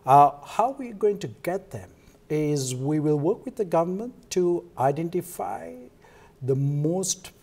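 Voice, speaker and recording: A man with a Sri Lankan accent explaining things as a guest in a televised interview, halting now and then mid-sentence. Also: a little high, animated, a little mellow. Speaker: interview guest, foundation co-founder.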